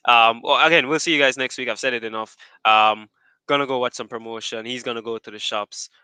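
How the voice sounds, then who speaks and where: A man's voice: mid-range voice, steady tone, brisk, bright, forceful tone, clean tone, dry recording. A man hosting a podcast in casual chat, rambling and restarting as he keeps wrapping up. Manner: rambling, restarts often, wraps up repeatedly. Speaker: podcast host.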